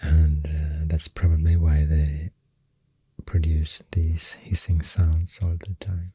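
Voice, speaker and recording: Man, Swedish accent, soft-spoken ASMR host, dark mellow tone, very dry close-miked sound, hushed halting delivery. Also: very deep voice, monotone, no echo. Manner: hushed, breathy, half-whispered. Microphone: close ASMR microphone.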